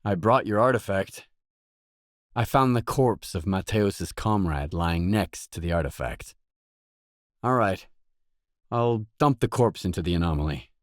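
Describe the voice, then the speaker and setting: Low male voice, gruff game mercenary, terse and businesslike, really dry close recording. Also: animated, unhurried, long pauses, no room sound. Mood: matter-of-fact, guarded. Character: gruff, matter-of-fact male mercenary stalker, game hero. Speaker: video game protagonist. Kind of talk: video game dialogue voice lines.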